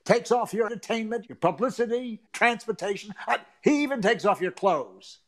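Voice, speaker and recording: A boastful older ladies' man talks in a high, very animated voice. He has the vain, breezy delivery of a 1940s radio comedy sidekick. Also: moderate pace. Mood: breezy advice. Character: boastful, vain, girl-chasing radio announcer pal. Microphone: webcam-style video call, earphones.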